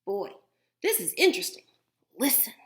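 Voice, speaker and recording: A woman voicing an eager, curious young boy from a picture book, exclaiming with excitement. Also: medium pitch, normal speed.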